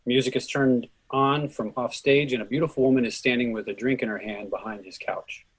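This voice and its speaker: A man with a husky voice reading out a play's stage directions and cues as scripted narration. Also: mid-range voice, fairly even intonation, fairly quick, rough recording.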